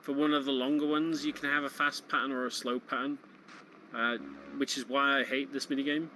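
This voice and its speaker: A man's voice: medium pitch, little pitch movement, a little fast, pushed and bright, a little hiss or hum, poor audio. A man giving casual commentary on a video game run. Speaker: speedrun event commentator.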